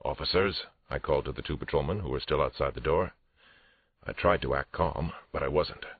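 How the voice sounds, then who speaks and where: A man's voice: brisk, heavily acted. A man reading a first-person audiobook thriller as a police detective, tense, restrained and dramatic. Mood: grim. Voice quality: very deep, husky. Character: male police detective narrator, angry but controlled. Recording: roomy, muffled phone-like audio.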